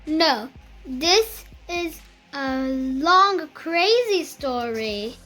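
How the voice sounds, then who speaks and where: A child reading a picture book aloud in a careful, halting beginner's way, with music behind. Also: high voice, lively intonation, sharp tone.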